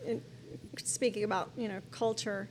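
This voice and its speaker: A woman's voice: medium pitch, steady tone, normal speed, slight background noise. A middle-aged woman pastor, a guest in an interview, talking earnestly and casually with frequent restarts. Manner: warm and earnest, with frequent restarts and "you know".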